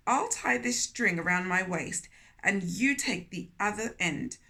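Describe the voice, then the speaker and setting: A woman voicing a greedy male spider from a children's storybook, agreeable and scheming in a measured way. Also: medium pitch, lively intonation, pushed and bright.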